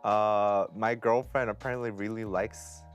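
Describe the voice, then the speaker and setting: A man's voice: fairly low pitch, fairly even intonation, a little slow, faint music behind. Joking male podcast host. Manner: joking, laughs often. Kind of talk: casual podcast chat.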